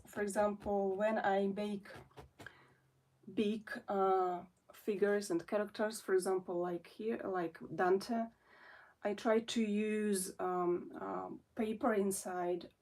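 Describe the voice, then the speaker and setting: A Russian-born woman in her forties talks slowly to her viewers in English, her second language, pausing often and restarting her sentences. Her voice sits a little away from the microphone, so it sounds somewhat distant. The tone is a relaxed, homemade chat. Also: medium pitch. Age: 40s. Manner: hesitant, many fillers and restarts. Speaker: felting artist and channel host. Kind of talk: casual chat to camera.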